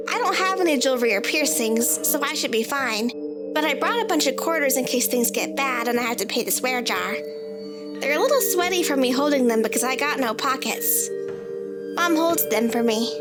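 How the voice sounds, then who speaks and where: A woman reading a first-person story in the voice of a child, with a childlike, matter-of-fact manner. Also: sits high. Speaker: story narrator. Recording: loud music bed under the voice. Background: music.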